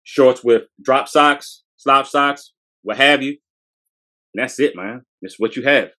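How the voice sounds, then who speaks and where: A man's voice: medium pitch, slow pace, pushed and bright. A man in his forties, a sneaker podcast co-host, talks up a shoe from his collection in a hyped, slangy way, often repeating phrases, in a small room. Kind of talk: casual sneaker showcase. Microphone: fixed camera at close range.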